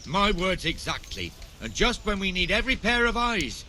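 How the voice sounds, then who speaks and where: An actor playing a gruff, talkative older town guardsman in a video game. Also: sits high, sing-song, normal speed, a little hiss or hum, heavily acted. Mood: companionable. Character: gruff, chatty older town guardsman showing newcomer around. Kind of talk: video game dialogue acting. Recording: loud music under the voice. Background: loud music.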